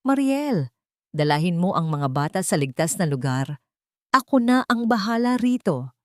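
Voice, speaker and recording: A woman's voice: lowish voice, big pitch swings, normal speed, no echo, clean studio sound. A woman voicing a protective, serious male hero with a resolute manner in a romance story. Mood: firm, urgent. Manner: hard, resolute.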